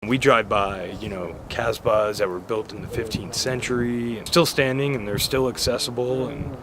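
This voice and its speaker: A man's voice: mid-range voice, steady tone, moderate pace. A man, an American traveler, talking enthusiastically and chattily about his tour. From United States. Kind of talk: travel testimonial. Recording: outdoor street and traffic noise.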